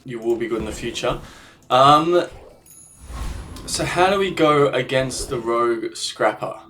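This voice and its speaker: A man, slightly croaky from a cold, commentating his own gameplay and thinking aloud about strategy in a self-deprecating way. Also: mid-range voice, expressive, a little slow, pushed and bright, distant mic, slight background noise. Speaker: gaming channel host.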